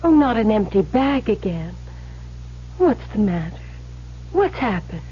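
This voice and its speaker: An actress playing a devoted, encouraging young bride-to-be in a radio drama, ardent, coaxing and theatrical. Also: female voice, mid-range voice, very animated, unhurried. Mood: concerned, soothing. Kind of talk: old-time radio drama acting. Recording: muffled, lightly noisy old radio broadcast.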